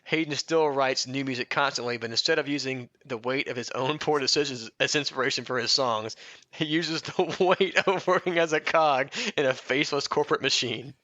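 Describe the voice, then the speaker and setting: Bright-voiced, irreverent man on a lo-fi mic. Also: medium pitch, fairly quick, no echo. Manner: irreverent, breaks up laughing while reading. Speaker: podcast co-host. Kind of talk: reading a mock biography aloud with joking asides.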